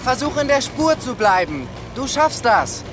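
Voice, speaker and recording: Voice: mid-range voice, big pitch swings, a little slow, music in the background. An actor playing a confident, kindly boy who leads a rescue team in a children's audio drama. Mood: reassuring.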